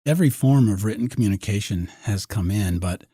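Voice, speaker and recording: A man with a dark, very husky voice chats on a podcast. He is wry and musing, thinking aloud as he goes. The sound is close and dry. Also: lowish voice, fairly quick, no room sound. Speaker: podcast host. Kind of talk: conversational podcast discussion.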